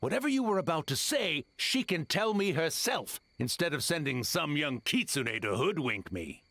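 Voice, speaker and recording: A man's voice: a little high, big pitch swings, moderate pace, bright, forceful tone, no room sound, exaggerated voice. A man voicing a grumpy, boastful tanuki yokai trickster in a video game, with a cocky, theatrical delivery. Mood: suspicious. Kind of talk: video game character acting.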